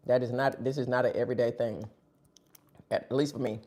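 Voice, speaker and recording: Live-stream host, husky and dark-toned, sniffly, chatty eating banter. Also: medium pitch, fairly even intonation, brisk. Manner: chatty, talks between bites. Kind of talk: casual live-stream chat while eating.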